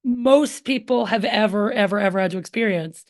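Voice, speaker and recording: A woman's voice: mid-range voice, moderate pace. A woman hosting a podcast interview, reacting often with exclamations and asking questions. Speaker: podcast host. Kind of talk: conversational interview.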